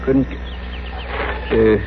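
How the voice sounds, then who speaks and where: An actor playing a good-natured cowboy deputy sidekick in a radio Western, with a rural Western American drawl and a folksy, rambling, deferential way of talking. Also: male voice, extremely slow. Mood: polite, chatty. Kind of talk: 1960s radio Western acting. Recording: dull, very noisy vintage radio recording.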